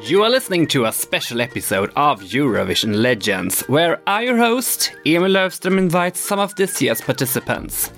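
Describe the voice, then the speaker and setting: A man's voice: a little high, sing-song, normal speed, hardly any pauses, fairly bright tone, faint music behind. A man hosting an interview podcast in a playful, teasing way. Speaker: podcast host.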